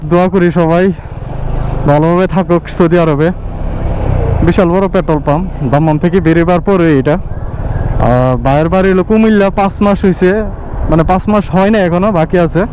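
A man's voice: high voice, brisk, long pauses. A man, vlogging casually from a motorbike at night and chatting in short questions. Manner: friendly, chatty, asks many short questions. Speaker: motovlogger. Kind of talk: casual vlog narration and chat. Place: outdoors at a petrol station and on a highway at night. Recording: faint traffic noise outdoors.

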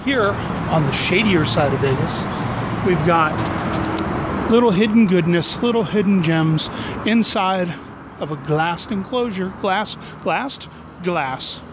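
A man's voice: high-pitched, lively intonation, slow pace. A man in his forties giving a casual, rambling, jokey walking tour as a vlog host, recorded on a handheld camera outdoors. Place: outdoors on city back streets. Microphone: handheld camera at arm's length. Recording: noisy outdoor street with traffic.

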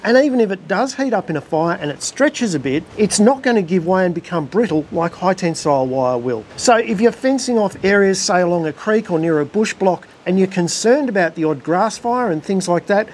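A middle-aged Australian man with a fairly high voice walks through practical farm advice in a plain, friendly way. He is recorded outdoors beside a shed on a lapel mic. A faint rumble sits underneath. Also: animated, fairly quick, hardly any pauses. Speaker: farm educator host. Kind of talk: instructional farm video talk.

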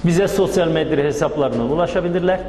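Male business owner in his fifties, slightly high voice, plain answers, reverberant room. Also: quick pace. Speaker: interview guest, catering business owner. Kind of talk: plain, brief interview answers. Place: indoor storeroom with metal shelves of pots. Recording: echoey reverberant room, music behind.

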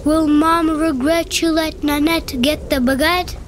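A woman reading a children's picture book aloud in a slow, playful storybook manner. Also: high voice, fairly even intonation, background noise. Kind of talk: children's read-aloud narration. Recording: faint music behind the voice.

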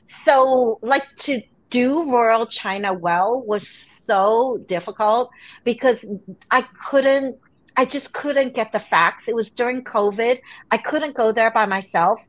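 A woman's voice: a little high, slow pace, poor audio. A middle-aged woman from Hong Kong and New York, an author telling stories with animation as a guest on a video call from home. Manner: animated storytelling. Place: home room.

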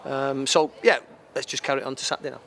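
A man in his fifties giving an unscripted post-match football interview, matter-of-fact and halting, repeating phrases as he goes. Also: mid-range voice, steady tone, fairly bright tone. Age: 50s. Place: outdoors at a football ground at night. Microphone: handheld interview microphone. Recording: clear outdoor background noise.

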